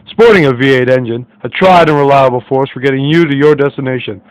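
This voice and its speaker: A man's voice: a little high, dry recording, rough recording. A man reading a scripted advertisement in the style of 1940s radio. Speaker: commercial announcer.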